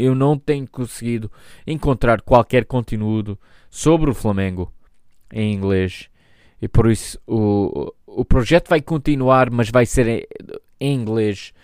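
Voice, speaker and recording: Solo podcast host, man in his thirties, American speaking European Portuguese, native English speaker, lowish voice, smooth and dry. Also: darkish tone, no room sound. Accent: European Portuguese, spoken by an American. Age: 30s. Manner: halting, many restarts and searching for words.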